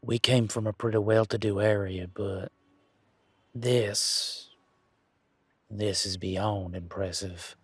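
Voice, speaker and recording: A man playing a laid-back, wry werewolf in an intimate one-sided audio roleplay, talking in a casual, folksy, teasing way with a husky voice. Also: lowish voice, steady tone, takes it slowly, long pauses, no room sound. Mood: impressed.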